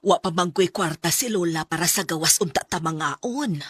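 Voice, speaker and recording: A woman acting a doting, encouraging elderly grandmother in a radio drama, with a husky voice and a Filipino Bisaya accent. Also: fairly low pitch, normal speed, fairly bright tone, no room sound. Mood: proud, delighted.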